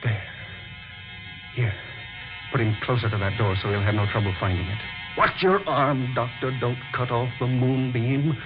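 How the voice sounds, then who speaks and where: An actor playing a loyal, watchful scientist colleague in an old-time radio drama, formal and earnest. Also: male voice, medium pitch, moderate pace, close to an everyday voice. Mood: urgent, amazed. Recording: dull lo-fi old radio recording, music behind.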